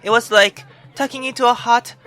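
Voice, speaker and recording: A male gaming YouTuber reading a visual novel aloud and reacting in a theatrical, excitable way. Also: very high-pitched, normal speed. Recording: music behind the voice. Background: background music.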